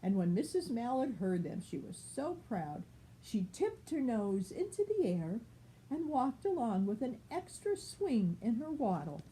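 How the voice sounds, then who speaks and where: Older female storyteller, grandmotherly, highly animated, higher-pitched voice, picture-book reading over faint noise. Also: measured pace, rough recording.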